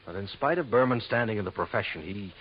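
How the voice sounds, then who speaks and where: A man's voice: fairly low pitch, animated, fast talker, lo-fi sound, close to an everyday voice. An American actor playing a worried, businesslike male insurance manager, confiding and explaining in a 1950s radio drama on a noisy old recording. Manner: conversational, hesitant asides, confiding explanations.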